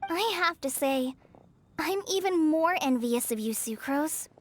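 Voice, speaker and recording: A woman's voice: sits high, animated, unhurried, soft background music. A woman voicing a timid, tentative teenage girl student in a video game. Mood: admiring.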